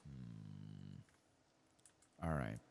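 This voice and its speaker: A man speaking softly and at times whispering in ASMR gameplay commentary, murmuring and musing to himself close to the microphone. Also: a bass voice, extremely slow, gravelly, slight background noise. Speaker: host of a gaming ASMR channel.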